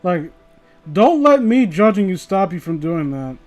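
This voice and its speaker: A man's voice: sits high, sing-song, measured pace, darkish tone, faint music behind. A tipsy man livestreaming, rambling casually and profanely as he chats and reads stories. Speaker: livestreamer reading online horror stories. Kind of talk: casual rambling livestream chat and story reading.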